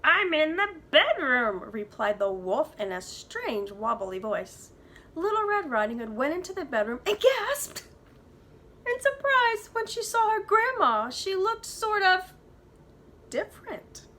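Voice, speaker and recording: A woman's voice: extremely high pitch, big pitch swings, measured pace, pushed and bright, a little hiss or hum. A woman preschool teacher reading a storybook aloud to young children, with dramatic emphasis.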